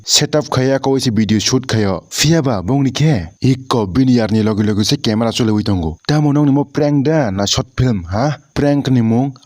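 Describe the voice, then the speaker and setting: A man's voice: mid-range voice, darkish tone, dry recording, clean studio sound. A man giving casual, mocking video commentary in a crude, slangy, ranting way. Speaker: video creator reacting to prank clips.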